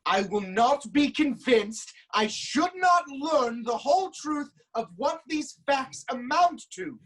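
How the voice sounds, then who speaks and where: A woman playing a proud, stubborn king of ancient Thebes, declaiming deliberately in a theatrical reading. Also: high-pitched, animated, poor audio. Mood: determined. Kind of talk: theatrical staged reading of Greek tragedy.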